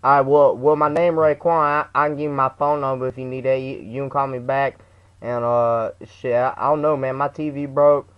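A man's voice: mid-range voice, level tone, a little fast, clear, resonant tone, natural voice. Young man putting on stammering, slangy aggrieved caller, muffled. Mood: plaintive. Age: young adult. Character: slangy, aggrieved man reporting a broken TV to customer service. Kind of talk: prank phone call. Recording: dull lo-fi webcam audio.

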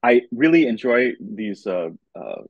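A man's voice: medium pitch, sing-song, a little slow, gravelly, slightly rough, dry recording, lo-fi sound. An older man, a retired voice professor, chatting casually as an interview guest.

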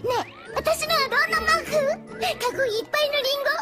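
Little cartoon filly, very high childlike voice, eager, pleading, music behind. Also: female voice, fast. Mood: earnest, pleading.

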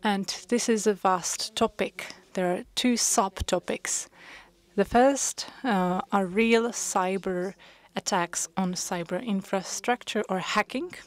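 Brief: woman interpreter, unhurried delivery, mid-range voice, hesitant pauses between phrases. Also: mid-range voice. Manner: measured, with frequent hesitations. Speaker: conference interpreter. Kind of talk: simultaneous interpretation of a panel discussion.

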